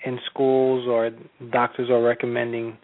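A man from Haiti asking interview questions in a measured, hesitant way with fillers. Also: mid-range voice, completely flat delivery. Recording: tinny phone-like sound.

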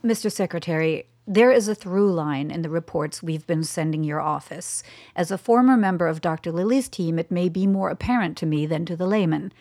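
Shrewd middle-aged scientist lady in a radio play, lower voice, careful and polite, no room sound. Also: a little mellow, no echo. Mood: measured, earnest. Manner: formal, measured, deferential. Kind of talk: radio drama acting.

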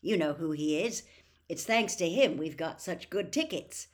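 A woman's voice: on the low side, expressive, measured pace. Woman reading a mild, cautious wizard father. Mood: genial. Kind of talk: audiobook character voice.

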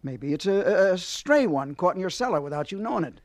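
A man's voice: high voice, lively intonation, fairly quick, no room sound, studio quality. A man acting a nosy, folksy off-duty policeman in an old-time radio thriller, chatty, hesitant and plain-spoken. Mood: wary, placating.

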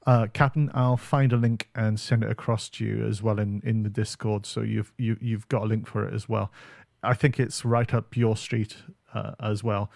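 A man's voice: fairly low pitch, steady tone, normal speed, vocal fry, darkish tone, no echo, clean studio sound. A man co-hosting a podcast, chatting casually about games, with many ums and uhs. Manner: rambling, lots of ums and uhs. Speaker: podcast co-host. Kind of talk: casual gaming chat. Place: home setup on camera.

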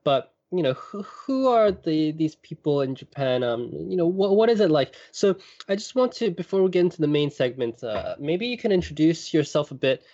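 A podcast host with a mid-pitched voice who interviews a guest casually and fills pauses with "um" and "uh". The recording sounds dull and lo-fi. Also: animated, mellow. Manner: friendly, with frequent fillers and backchannel murmurs.

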